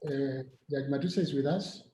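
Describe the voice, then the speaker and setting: A man's voice: medium pitch, moderate pace, warm tone. A man presiding over a court session, speaking formally and courteously without a script. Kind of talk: formal, unscripted chairing. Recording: roomy echo, faint background noise.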